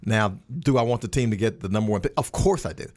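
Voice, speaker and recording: A man's voice: lowish voice, quick pace, darkish tone. A man giving conversational sports analysis on a radio roundtable in a plain-spoken way, often restating a phrase for emphasis.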